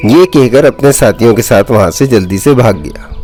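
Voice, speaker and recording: A male storyteller with a mid-pitched voice reads a scripted tale for children. Music plays behind his narration. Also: animated, moderate pace, darkish tone.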